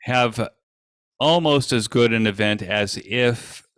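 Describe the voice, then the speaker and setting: A man giving an informal live software demo as an event presenter, explaining things in a halting, stop-and-start way on an online video call. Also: medium pitch, smooth, dry recording, clean studio sound.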